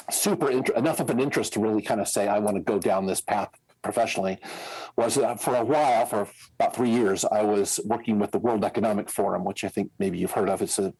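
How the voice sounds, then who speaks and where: A man's voice: fairly low pitch, a little mellow, husky, low-quality recording. An American man in his sixties with a Midwestern accent, talking casually as an interview guest, rambling with many fillers and restarts. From United States. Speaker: guest, scenario planner.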